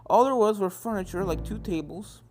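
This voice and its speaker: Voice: medium pitch, normal speed, darkish tone. A narrator reading an adventure story in scripted narration, with music playing behind. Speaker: fan fiction narrator.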